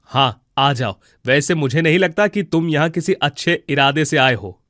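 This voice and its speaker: A man's voice: a little high, animated, normal speed, a bit bright, no echo, clean studio sound. An actor playing a stern, indignant elderly husband and father in a cartoon moral story, scolding and reproachful. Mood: angry, distrustful.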